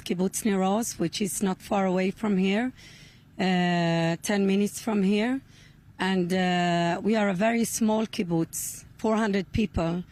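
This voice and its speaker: A middle-aged woman from Sweden, giving earnest interview answers outdoors in simple, deliberate English. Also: medium pitch, a little mellow. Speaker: interviewee, hostage's relative. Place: outdoors near a rural kibbutz.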